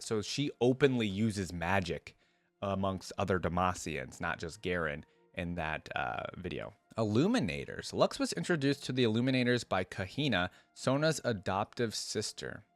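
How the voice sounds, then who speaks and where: A man's voice: on the low side, big pitch swings, normal speed, no echo, soft background music. A man hosting a reaction video, reading aloud and adding casual commentary.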